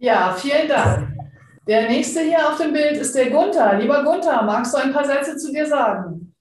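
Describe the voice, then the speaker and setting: A woman hosts a podcast and leads a round of introductions with short, friendly prompts. Her voice sits slightly high. The room is very echoey, with sound bouncing off the walls. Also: expressive, normal speed, darkish tone, slight background noise. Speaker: podcast host. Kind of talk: hosting a team introduction round.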